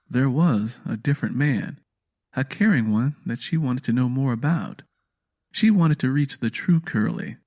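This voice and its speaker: A man's voice: medium pitch, a little mellow. A man narrating a scripted audio drama in a storytelling style.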